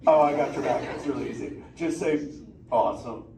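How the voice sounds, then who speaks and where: A man's voice: medium pitch, a little fast, vocal fry, recorded from a distance, close to an everyday voice. A man playing a laid-back male surfer sea turtle in a live interactive theater show, drawling surfer slang and bantering with the crowd. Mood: playful. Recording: echoey theater heard from afar with ambient noise.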